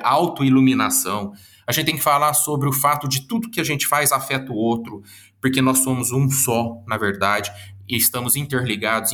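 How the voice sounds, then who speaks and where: A man's voice: medium pitch, a bit bright. A man from Minas Gerais, Brazil, with the accent of the region, answering interview questions in an earnest, lecture-like way and reeling off long lists of words. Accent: Minas Gerais Brazilian Portuguese. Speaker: guest, psychologist and speaker.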